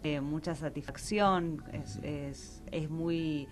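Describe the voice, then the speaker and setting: A woman talks in a casual radio interview in a distinctly low voice. She is tentative and halting, feeling her way toward each word. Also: steady tone. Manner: tentative, halting, pauses to find words. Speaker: interview guest, theatre director.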